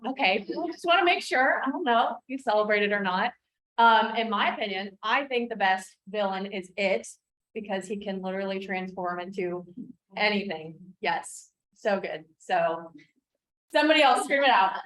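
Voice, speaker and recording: A young woman on a student club board, loud and bubbly, chatting casually at a club meeting. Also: medium pitch, moderate pace, low-quality recording.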